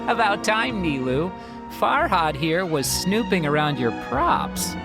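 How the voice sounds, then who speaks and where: Wildly animated, playful stage performer. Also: female voice, lowish voice, takes it slowly, heavily acted. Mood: teasing. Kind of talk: video game character acting. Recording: music behind the voice.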